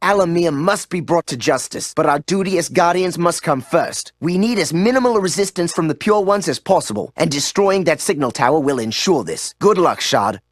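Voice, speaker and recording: A man voicing a high-voiced owl briefing ally. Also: lively intonation, moderate pace, hardly any pauses, noisy background, close to an everyday voice. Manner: earnest, instructive. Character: male owl ally giving mission briefings.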